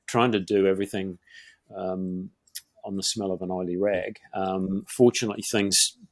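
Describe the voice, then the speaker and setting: A middle-aged man from Northeast England with a Geordie accent softened by years in New Zealand, a podcast guest who talks in a rambling way with many fillers and restarts. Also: deep voice, steady tone, moderate pace, no room sound. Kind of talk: conversational interview.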